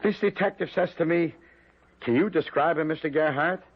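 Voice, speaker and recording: An actor playing a plain-spoken, stubborn, naive working-class husband in old-time American radio drama. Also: male voice, on the high side, moderate pace, breathy edge. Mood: rattled, defensive. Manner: plain-spoken, mildly argumentative. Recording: dull lo-fi vintage radio.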